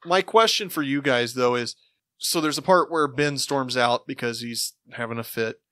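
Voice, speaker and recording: A man's voice: mid-range voice, normal speed, pushed and bright, no echo. A man hosting a podcast, chatting casually as part of a panel. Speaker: podcast host.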